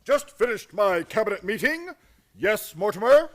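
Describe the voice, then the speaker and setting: A man's voice: high voice, sing-song, moderate pace. A man acting a cheerful, deluded fellow who believes he is the president, hearty and grand, in a radio play comedy. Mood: earnest, grand.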